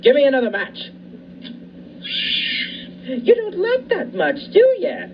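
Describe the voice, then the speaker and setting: A man's voice: very high voice, huge pitch swings, slow pace, creaky, full character voice. A young man playing a jittery old former performer who is losing his mind, in a radio drama, with a shaky, stammering delivery. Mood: frantic. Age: young adult. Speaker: student actor. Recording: muffled, distant, faint hiss.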